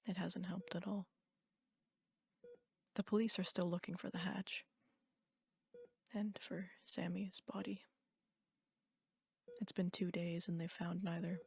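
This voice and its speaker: Hushed, whispery woman reading horror. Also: fairly low pitch, flat delivery. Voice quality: breathy, whispery. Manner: hushed, deliberate, dramatic storytelling. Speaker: narrator of a horror story. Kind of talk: dramatic reading of a first-person horror story.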